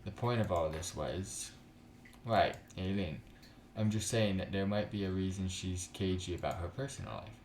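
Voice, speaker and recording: A man voicing a blunt, warm-hearted woman roommate in a visual novel, casual and reassuring. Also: low voice, normal speed, echoey room, a little hiss or hum. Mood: frank, reflective. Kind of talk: visual novel character read aloud. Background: soft background music.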